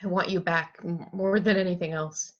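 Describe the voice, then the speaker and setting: A man's voice: high-pitched, fairly even intonation, moderate pace, fairly bright tone. A man playing an earnest, grieving young teenage boy in an amateur table read, on a muffled microphone. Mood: sad, resolute. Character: grieving, earnest boy in his early teens.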